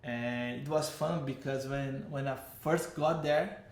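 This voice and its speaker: A man's voice: mid-range voice, steady tone, takes it slowly, reverberant. Brazilian-accented man telling his story. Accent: Brazilian Portuguese. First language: Portuguese. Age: 30s. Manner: rambling, self-correcting, frequent "like" and "uh". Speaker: vlogger. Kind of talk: casual personal storytelling.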